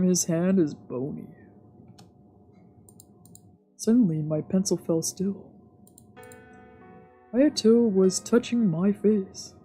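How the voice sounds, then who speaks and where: A woman's voice: lowish voice, breathy edge. A woman streamer reading visual novel narration aloud for a let's play. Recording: soft game music behind.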